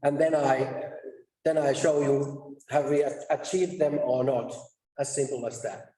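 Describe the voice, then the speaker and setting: A man giving a conference presentation, halting and restarting mid-sentence as he walks through his slides. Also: mid-range voice, fairly even intonation, dark tone. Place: conference session room. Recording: echoey room, distant mic.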